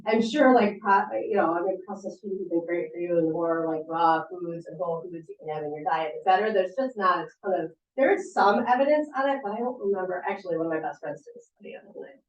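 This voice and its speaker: A woman therapist chats through audience questions about sleep, loose and informal with lots of fillers and restarts. Her voice sits a little low. It is picked up from a distance in an echoey room, slightly muffled. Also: fast, few pauses. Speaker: sleep therapist giving a talk. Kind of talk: casual talk answering audience questions. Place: meeting room at a support center.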